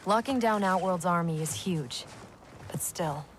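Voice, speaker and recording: A woman playing a brisk military officer in a video game cutscene, plain-spoken and businesslike. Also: fairly low pitch, a little hiss or hum, lightly acted. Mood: firm, worried. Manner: plain, businesslike modern speech.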